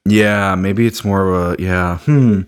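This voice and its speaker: A man co-hosting a casual beer review podcast, joking crudely with deadpan asides. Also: low-pitched, takes it slowly, clear, resonant tone. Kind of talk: casual banter.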